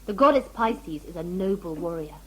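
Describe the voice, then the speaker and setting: Woman acting a lively, earnest savage huntress. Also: on the low side, moderate pace. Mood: earnest, proud. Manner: blunt, formal, literal speech. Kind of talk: fan audio sci-fi drama acting. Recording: noisy amateur audio-drama tape.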